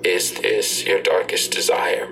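A sinister internet ghost speaks in a low voice through a computer speaker. It recites stiff customer-service phrases in a formal, repetitive way, with music underneath, as in an audio drama. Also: little pitch movement, takes it slowly, gravelly, fairly bright tone, exaggerated voice. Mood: cold, transactional. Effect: voice heard through a computer speaker. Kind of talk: full-cast audio drama acting.

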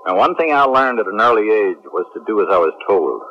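An actor as a commanding space captain, muffled recording. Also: male voice, lowish voice, animated, a little fast, natural voice. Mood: reflective, wry. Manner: commanding, self-assured. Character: confident, strict military spaceship captain. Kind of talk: late-1970s radio drama acting. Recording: muffled 1970s radio drama recording, faint noise.

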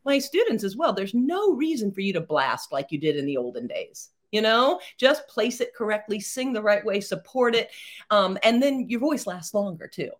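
A middle-aged woman, a theatre performer, chatting as a podcast guest in a rambling, animated way with many fillers and laughs. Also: mid-range voice, normal speed. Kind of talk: casual interview chat.

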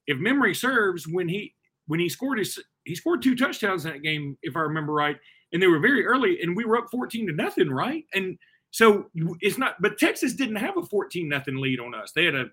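A male sports talk host with a bright, slightly high voice. He talks in a chatty, opinionated way, leading the conversation casually. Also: big pitch swings, brisk, breathy edge.

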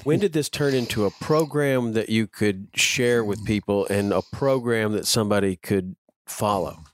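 A low-voiced man interviewing a guest, attentive and encouraging, with a steady stream of short acknowledgments. The sound is dry and close. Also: moderate pace, dry recording. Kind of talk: casual interview.

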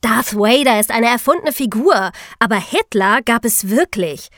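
Female co-host, lightly raised pitch, direct casual chat, dry studio sound. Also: expressive, normal speed, a bit bright, dry recording. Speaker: podcast co-host.

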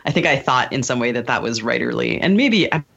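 A woman's voice: rumbling low, expressive, fairly quick, gravelly, a bit bright, breathy edge, muffled recording. A woman chatting casually as a podcast host, with wry, mock-formal humor. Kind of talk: casual conversational podcast chat.

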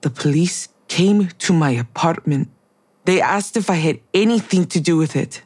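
Distrustful female colleague, acted: rough-edged husky voice, blunt accusatory audio drama delivery. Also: lowish voice, moderate pace, a little mellow, close to an everyday voice. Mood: accusing, bitter.

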